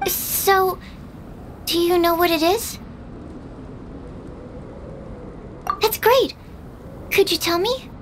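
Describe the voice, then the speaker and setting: A woman playing a shy, earnest young female alchemist in a video game, soft and girlish, speaking in a timid, formal way with small stammers. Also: very high voice, slow, slight background noise. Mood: curious, amazed. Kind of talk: video game character acting. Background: music.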